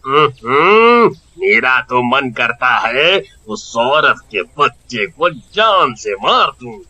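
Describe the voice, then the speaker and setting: A man voicing a scheming, jealous village shopkeeper in a cartoon, with a whining, exaggerated, drawn-out delivery. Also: mid-range voice, big pitch swings, bright, forceful tone, full character voice. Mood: exasperated. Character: scheming, jealous village sweet-seller, henpecked husband. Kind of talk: Hindi cartoon voice acting.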